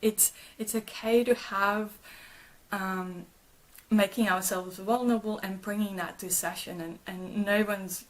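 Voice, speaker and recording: Gentle woman, reassuring. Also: medium pitch, fairly even intonation, unhurried, rough recording. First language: German. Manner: gentle and reassuring, with frequent restarts and repeated words. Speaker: trauma therapist and channel host. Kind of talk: live-stream talk answering viewer comments.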